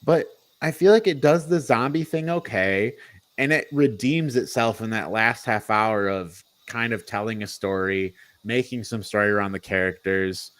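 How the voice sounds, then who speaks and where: A man's voice: on the low side, normal speed, fairly bright tone. A man co-hosting a casual movie review discussion, thinking aloud in an informal, hedging way. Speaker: podcast co-host reviewing movies. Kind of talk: casual panel chat.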